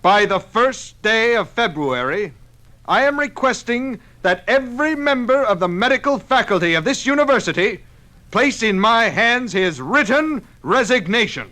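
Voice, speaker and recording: An actor playing a commanding public leader giving a formal, deliberate address in a 1950s radio drama. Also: male voice, high voice, very animated, pushed and bright, exaggerated voice. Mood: stern, commanding. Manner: oratorical, deliberate, declamatory. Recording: vintage 1950s radio recording.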